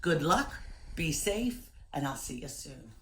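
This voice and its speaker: Slow, low-voiced woman teacher. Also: expressive, very noisy. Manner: deliberate, step-by-step. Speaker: elementary school teacher. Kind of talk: instructional talk to young children. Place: room at home.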